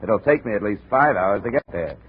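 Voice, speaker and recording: An American actor playing a tough, unflappable insurance investigator in a 1950s radio drama, clipped and wry. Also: male voice, lowish voice, expressive, brisk, lightly acted. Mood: brisk, businesslike. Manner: clipped, hard-boiled, wry. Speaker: lead actor and narrator. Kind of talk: 1950s radio detective acting. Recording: noisy, tinny old radio recording.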